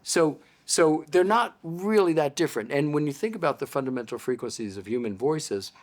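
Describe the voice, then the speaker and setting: A man's voice: fairly high pitch, lively intonation, fairly quick. Older American man explaining patiently. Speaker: audiologist guest. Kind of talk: explanatory interview talk.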